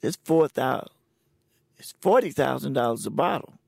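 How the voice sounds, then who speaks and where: Gravelly, husky man in his sixties. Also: mid-range voice, lively intonation, a little mellow, dry recording, studio quality. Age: 60s. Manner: plain, halting, unhurried storytelling. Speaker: interviewee. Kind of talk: recorded personal conversation. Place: indoors at a cultural center.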